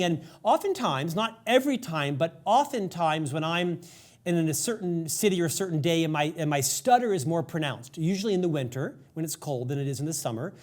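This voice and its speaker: Earnest male podcast host with a stutter. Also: a little high. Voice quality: lifelong stutter. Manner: earnest, engaged interviewer. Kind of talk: interview conversation.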